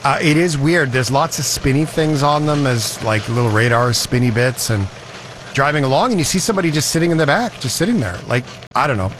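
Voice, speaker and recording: A man hosting a talk show, telling stories in a chatty, animated way. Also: mid-range voice, fairly quick, background noise. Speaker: show host. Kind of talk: casual talk-show banter.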